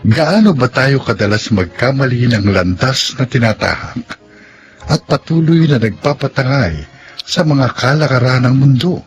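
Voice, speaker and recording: A man's voice: mid-range voice, expressive, normal speed. A man narrating as a radio drama host, scripted, solemn and dramatic in delivery. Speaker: host and announcer. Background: music.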